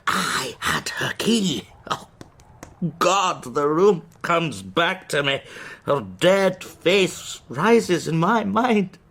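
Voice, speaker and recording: A man's voice: on the high side, big pitch swings, extremely slow, a bit bright, heavily acted. An actor playing an unhinged, lovelorn man sliding into raving madness, theatrical and rambling, in a period radio drama. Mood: manic, haunted. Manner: theatrical, rambling, swooping between whispers and cries.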